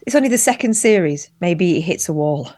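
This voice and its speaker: A young woman co-hosting a casual live stream, chatty and quick to laugh. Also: fairly low pitch, lively intonation, a little mellow, no echo. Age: young adult. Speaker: co-host.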